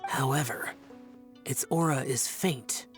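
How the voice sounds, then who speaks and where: A man's voice: mid-range voice, slow pace, faint music behind, natural voice. A man playing a brooding young adeptus in a video game, terse, stern and formal. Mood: solemn, stern.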